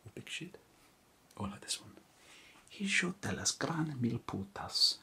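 Male vlogger, whispered delivery, bright tone, lively pitch, slow unhurried reading with mock-foreign pronunciation. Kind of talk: whispered reading of foreign swear words with translations.

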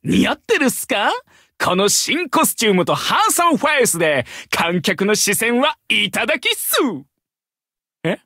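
A man's voice: high voice, sing-song, normal speed, sharp tone, dry recording, clean studio sound, full character voice. A man voicing an eager, cheerfully cocky young tiger-man hero in a mobile game, with bouncy junior-style casual speech. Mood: playfully boastful. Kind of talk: Japanese mobile game character acting.

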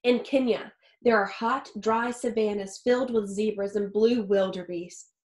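A woman in her thirties reading a picture book aloud like a teacher, expressively and with deliberate phrasing for young listeners. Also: medium pitch, fairly even intonation, a little mellow. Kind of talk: expressive children's read-aloud. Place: small home office or study with wooden shelves. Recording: roomy, echoey indoor sound.

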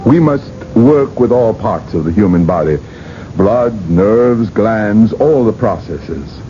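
Low, mellow-voiced doctor. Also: male voice, lively intonation, takes it slowly. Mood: intent. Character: assured male research doctor, intent and confident. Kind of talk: radio drama acting. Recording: dull, slightly noisy old radio broadcast.

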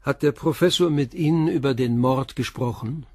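Actor as a probing, composed police commissioner. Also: male voice, mid-range voice, darkish tone, no room sound, clean studio sound, lightly acted. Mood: persistent, pressing. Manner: probing, measured questioning. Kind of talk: German crime radio play dialogue.

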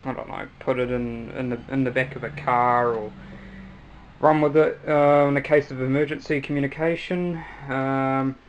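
A young man with a New Zealand accent, giving a casual, hesitant hobbyist demonstration with frequent pauses. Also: medium pitch, fairly even intonation, lo-fi sound. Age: young adult. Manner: hesitant, halting delivery with pauses. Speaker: hobbyist video maker. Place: small cluttered room at home, indoors. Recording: noisy amateur home recording.

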